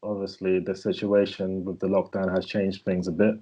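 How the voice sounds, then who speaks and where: A man's voice: deep voice, level tone, normal speed, warm tone, husky, lo-fi sound. A man hosting a casual podcast, easygoing and agreeable. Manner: easygoing, brief agreeing replies. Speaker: podcast host. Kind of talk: casual chat.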